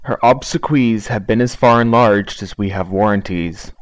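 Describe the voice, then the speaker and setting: An actor playing a stern, unbending priest, speaking formally and severely in a Shakespeare audiobook drama. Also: male voice, on the low side, normal speed, dry recording, close to an everyday voice, low-quality recording. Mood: stern, cold. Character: stern, unbending churchman at a funeral.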